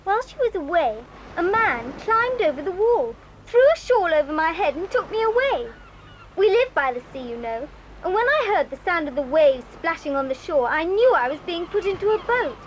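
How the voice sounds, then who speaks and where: A woman's voice: very high voice, big pitch swings, moderate pace, a little hiss or hum, low-quality recording. A timid rescued little girl. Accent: British. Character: frightened rescued young girl.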